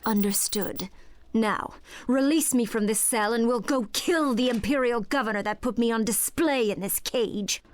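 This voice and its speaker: A woman playing a cold, vengeful, menacing witch in a video game, speaking in a commanding, deliberate way. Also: a little high, close to an everyday voice. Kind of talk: scripted video game acting.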